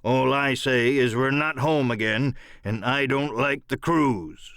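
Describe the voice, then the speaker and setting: A man playing a stern, wary sea captain with a sharp, curt, dry manner in an audiobook radio play. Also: medium pitch, slow pace, smooth, dry recording. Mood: grudging. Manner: sharp, short, dry delivery.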